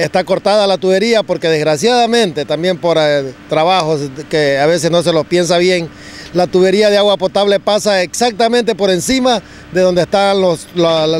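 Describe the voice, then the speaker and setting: A middle-aged man with an Ecuadorian accent, a public works director explaining repairs in an outdoor interview, halting with many fillers and restarts. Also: sits high, slight background noise. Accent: Ecuadorian Spanish. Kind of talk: on-site news interview. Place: outdoors at a street excavation site. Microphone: handheld reporter mics held close.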